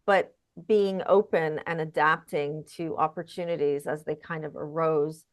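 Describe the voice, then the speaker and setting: A woman's voice: on the low side, darkish tone. A woman hosting an interview show, introducing her guest and putting questions in a structured, conversational way.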